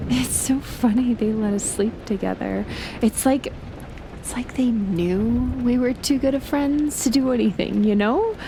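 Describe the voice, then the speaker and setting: A woman's voice: a little high, slow pace, long pauses. A young woman playing a shy, playful best friend in an intimate audio roleplay, talking casually and hesitantly. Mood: playful, nostalgic. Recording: rain and thunder noise behind voice. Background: rain and thunder.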